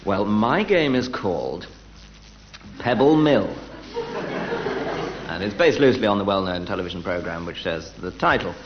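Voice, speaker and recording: A man's voice: sits low, animated, moderate pace, long pauses. A man on a radio comedy panel, explaining things in a mock-serious way. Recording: dull old radio recording with hiss.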